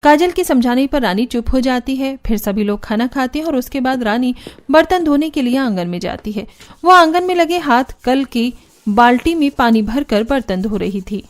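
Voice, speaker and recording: High-voiced woman narrating a cartoon story. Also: animated, moderate pace.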